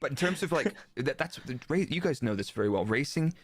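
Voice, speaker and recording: A man's voice: medium pitch, animated, fast talker, husky, no room sound, studio quality. A man giving casual, informal technical motorsport analysis as a guest expert in a live interview. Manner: explanatory, informal, illustrates with quoted remarks. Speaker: guest expert, former Formula 1 performance engineer.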